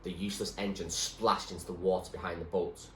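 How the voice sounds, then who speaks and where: A man giving an expressive narration of a storybook, like a teacher reading aloud. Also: low-pitched, brisk. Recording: echoey room, faint background noise.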